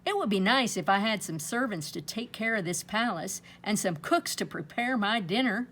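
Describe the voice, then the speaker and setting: A woman's voice: mid-range voice, animated, moderate pace, fairly bright tone, slight background noise, lightly acted. A woman voicing a greedy, ungrateful male flower seller from a storybook, speaking with grumbling, wishful emphasis.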